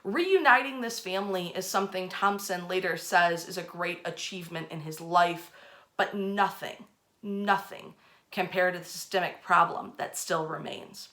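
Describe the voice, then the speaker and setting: A woman's voice: lowish voice, normal speed, pushed and bright. A woman hosting a history storytelling session, speaking conversationally and repeating phrases for emphasis. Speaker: host, storyteller.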